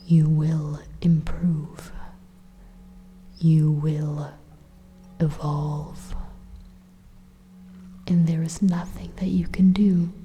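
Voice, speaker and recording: A woman voicing a sinister, condescending AI supercomputer in a breathy, half-whispered ASMR monologue, slow, deliberate and menacing. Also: low voice, flat delivery, warm tone, soft background music. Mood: calm menace. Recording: reverberant hall-like echo.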